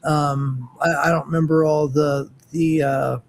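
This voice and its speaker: A man's voice: a little high, little pitch movement, unhurried, creaky. A man in his forties co-hosting a casual chat, speaking hesitantly with many fillers and restarts. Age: 40s. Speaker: co-host.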